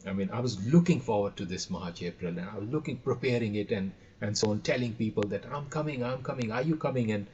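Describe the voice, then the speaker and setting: A man's voice: lowish voice, expressive, quick pace, hardly any pauses, a little mellow, slight background noise. A man talking enthusiastically in conversation as a guest, often repeating his phrases and telling anecdotes. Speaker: audio and radio trainer, podcast guest. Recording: dull, lo-fi call audio.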